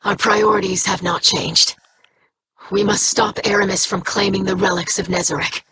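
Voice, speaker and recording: A woman's voice: mid-range voice, fairly even intonation, moderate pace, bright, forceful tone, slight background noise, lightly acted. A woman voicing a formal young alien scholar. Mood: earnest. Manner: formal, stiff, scholarly diction. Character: young female alien scholar, earnest and precise.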